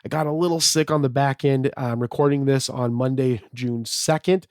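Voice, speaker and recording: A man hosting his own podcast, talking casually and a bit slightly raspy from a fever, with lots of fillers and restarts. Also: mid-range voice, fairly even intonation, normal speed, a little mellow, no echo, clean studio sound. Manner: rambling, many fillers and restarts. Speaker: podcast host. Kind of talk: casual solo podcast monologue.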